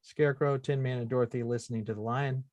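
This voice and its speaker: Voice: mid-range voice, fairly even intonation, fast, a little mellow, dry recording. A narrator reading out screenplay stage directions as scripted narration at a table read.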